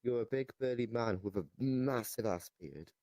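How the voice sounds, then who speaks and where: A young man improvising comedic chat in a deadpan, hesitant way. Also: medium pitch, normal speed, no room sound. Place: online voice chat in a virtual reality game.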